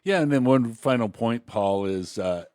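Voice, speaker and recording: A man's voice: medium pitch, lively intonation, normal speed, darkish tone, dry recording, studio quality. A man co-hosting a talk show with conversational political commentary, hesitant and rambling, often repeating himself.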